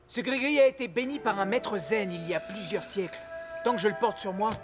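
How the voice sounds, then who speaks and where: A man playing an earnest, excitable young star footballer in a children's cartoon. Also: high-pitched, brisk, natural voice. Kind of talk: dubbed children's cartoon acting. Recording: music behind the voice.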